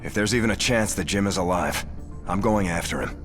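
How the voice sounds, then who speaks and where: A man's voice: deep voice, fairly quick, music in the background, close to an everyday voice. A man playing an earnest, loyal starship captain in a video game, speaking in a serious, plain and resolute way. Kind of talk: video game cutscene acting.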